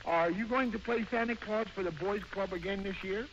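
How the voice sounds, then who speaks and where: Man acting an excitable, high-pitched bumbling radio husband. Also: moderate pace, lightly acted. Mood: eager, coaxing. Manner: flustered, stammering, interjecting constantly. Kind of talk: 1940s radio comedy acting. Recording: dull, noisy old-time radio recording.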